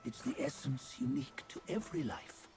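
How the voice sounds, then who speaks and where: An actor playing a solemn, cryptic old male sage in a video game, speaking in measured, archaic phrases. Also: fairly high pitch, very animated, noisy background. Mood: cryptic, grave. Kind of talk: dramatic video game dialogue. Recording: music behind the voice. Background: game score music.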